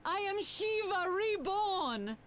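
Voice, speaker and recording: A fervent young woman visionary from a fantasy game, speaks in a high voice. The delivery is slow, earnest and theatrical, with archaic wording. Faint ambience lies underneath. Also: very animated, a bit bright, slight background noise, exaggerated voice. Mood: fervent, devoted. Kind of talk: dramatic fantasy game acting.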